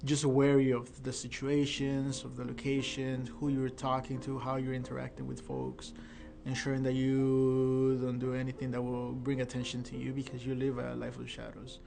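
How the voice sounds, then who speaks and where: Man in his twenties, gravelly voice, measured and reflective, documentary interview, clear music behind. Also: mid-range voice. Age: 20s. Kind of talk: documentary testimony.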